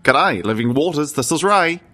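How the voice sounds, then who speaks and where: A man's voice: a little high, expressive, normal speed, sharp tone. A man voicing a self-important, bumbling and dismissive male preacher in an improvised comedy sketch. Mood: suspicious.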